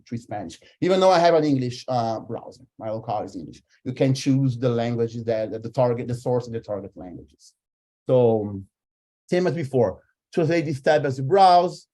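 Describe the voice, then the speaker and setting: A man who speaks Portuguese natively presents a software demo in a mid-pitched, relaxed, mellow voice. He explains each step casually as he does it. His delivery is halting, as if thinking aloud while he works. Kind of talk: live screen-share demo, casual explanation.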